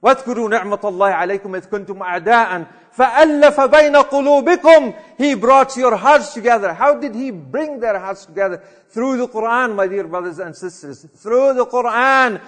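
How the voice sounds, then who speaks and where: A man delivering a Friday sermon, chanting Arabic verses quickly and then translating, swinging between loud urging and quiet reflection. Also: very high voice. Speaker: preacher giving a Friday sermon. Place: mosque prayer hall. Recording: long hall reverb.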